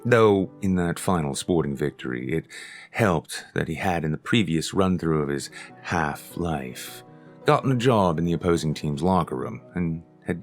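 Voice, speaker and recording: A man reading his own short story aloud as scripted audiobook narration, in a measured, deliberate storytelling manner. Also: extremely low pitch.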